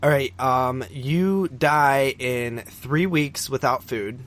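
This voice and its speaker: A man's voice: mid-range voice, slow pace, fairly bright tone. A man co-hosting a podcast, casually recapping a film in a rambling, informal way with some swearing. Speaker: podcast co-host.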